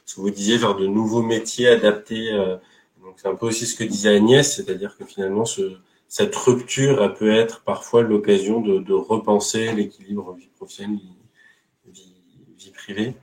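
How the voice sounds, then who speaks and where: A man's voice: lowish voice, steady tone, moderate pace, darkish tone, reverberant, low-quality recording. A man moderating a webinar, hesitantly relaying questions from the chat.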